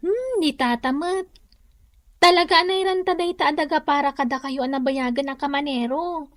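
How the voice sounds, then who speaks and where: Actress as a very high-voiced, helpful young Ilocano woman. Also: normal speed, no echo, clean studio sound, natural voice. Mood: reassuring. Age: young adult. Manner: polite, explanatory. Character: helpful, respectful young woman, patient with elders. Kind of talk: radio drama acting.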